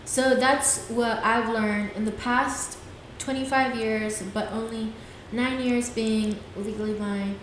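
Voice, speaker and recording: A woman's voice: fairly high pitch, steady tone, slow pace, hardly any pauses. Young woman, twenties, solo podcast host, earnest rambling talk, very echoey room, faint hiss. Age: 20s. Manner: earnest, rambling, frequent "things like that".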